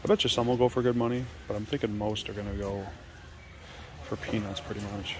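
A man's voice: on the low side, fairly even intonation, brisk. A man casually chatting as a folksy vlogger while browsing an auction hall. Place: large indoor auction hall. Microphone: handheld camera or phone. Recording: noisy hall, handheld camera audio. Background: clear hall noise.

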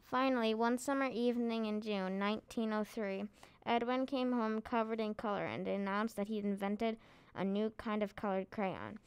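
Female storybook reader, exceptionally clean crisp voice, dry sound. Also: medium pitch, no room sound. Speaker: reader of a children's book. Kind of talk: scripted read-aloud narration.

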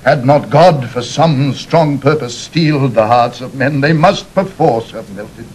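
An actor playing a stern, loyal old English duke in a Shakespearean audio drama, with a British accent and declamatory verse delivery. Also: male voice, measured pace, a little mellow, breathy edge. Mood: grave sorrow. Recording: rumbly, noisy vintage 1960s drama recording.

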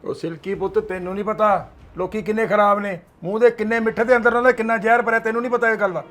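Actor as a stern angry son, high voice, rapid harsh scolding. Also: male voice, fairly even intonation, a bit bright. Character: angry grown son and father, cold and stern. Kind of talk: family drama acting.

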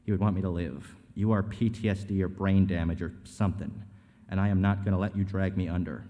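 A man plays a bitter, worn-down mourner in a staged script reading. His voice is low and mellow with a sardonic edge, echoing in a big hall. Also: level tone, fast talker, lightly acted. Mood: defiant, wounded. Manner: sardonic, self-loathing. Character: bitter, weary, grieving man at a grave. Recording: echoey hall, long reverb.

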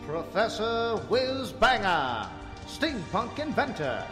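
A man's voice: high voice, sing-song, slow, over music, heavily acted. A man voicing a dramatic announcer for an adventure serial's theme, in a bombastic, theatrical manner. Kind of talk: theme song announcing over music.

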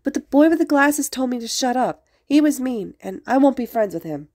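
A woman voicing a childlike, playful boy in a story, excitable and innocent with theatrical flair. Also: on the high side, moderate pace, no echo, clean studio sound, voice pushed up. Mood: pleading, indignant. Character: childlike, playful, theatrical hero-student boy among villains.

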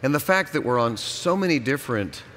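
A man's voice: medium pitch, lively intonation, fairly quick, fairly bright tone. A middle-aged man, a pastor, talking as a guest on a live convention stage. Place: stage in a large convention hall. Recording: faint live hall background noise.

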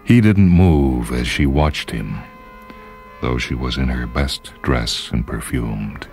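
A man's voice: a bass voice, slow, a little mellow. A man reading a short story as dramatic literary narration. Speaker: story reader. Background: music.

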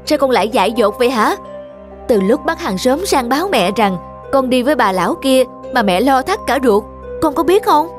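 A woman's voice: high voice, animated, moderate pace. Cartoon mother: protective, fretful, urgent scolding, music bed underneath. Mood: worried, scolding. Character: anxious, protective young mother of a little girl. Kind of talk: dubbed cartoon dialogue.

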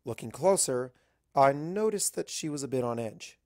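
A man narrates a piece of fan fiction in the first person. His voice sits at a medium pitch and is recorded dry, with very little room sound. The delivery is that of a scripted story reading. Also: expressive, moderate pace, darkish tone, no echo.

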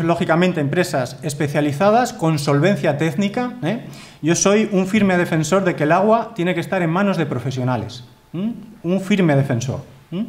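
A Spanish-accented man in his thirties lecturing at a conference, speaking into a desk microphone in a wood-panelled hall. His voice sits a little high for a man, and his delivery is earnest and emphatic, with frequent tag questions. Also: a little mellow. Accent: Spanish from Spain. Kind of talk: formal conference talk with slides.

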